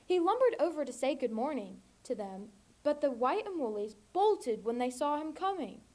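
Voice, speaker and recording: A woman's voice: sits high, very animated, moderate pace, a little mellow. A teenage young woman reading a children's storybook aloud. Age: teens. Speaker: volunteer storybook reader.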